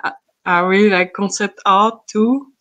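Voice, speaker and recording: A woman in her twenties from Switzerland, introducing herself on a video call with halting, filler-filled speech. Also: medium pitch, fairly even intonation, dull audio. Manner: hesitant, many fillers, laughs softly. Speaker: art contest winner.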